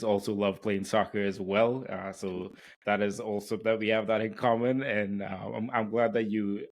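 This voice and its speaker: A man hosting a careers podcast, introducing guests and interviewing them. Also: on the low side. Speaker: podcast host.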